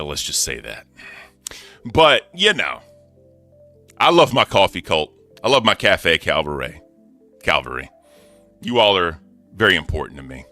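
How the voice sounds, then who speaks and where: Man, deep voice, very low pitch, lively swings, forceful bright tone, defiant livestream rant. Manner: animated, defiant rant with swearing. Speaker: co-host. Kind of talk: casual livestream chat.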